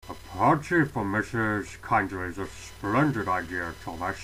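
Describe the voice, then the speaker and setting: A stern railway boss. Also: male voice, low-pitched, expressive, measured pace, slight background noise, heavily acted, rough recording. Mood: commanding. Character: stern, stout male railway controller. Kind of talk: fan audio drama. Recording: loud background music.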